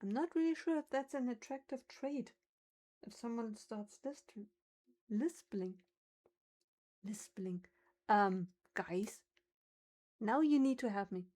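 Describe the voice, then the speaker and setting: A woman's voice: on the high side, slow pace, pauses often, mellow. A woman with a German accent, a native German speaker, chatting casually on a livestream and musing aloud over how words are pronounced.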